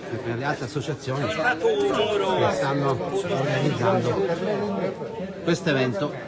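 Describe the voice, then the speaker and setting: A man giving enthusiastic live walking-tour commentary, addressing viewers as friends. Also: mid-range voice. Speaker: host of a live local travel broadcast. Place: outdoors in village streets and squares. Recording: busy outdoor street, crowd and loud music.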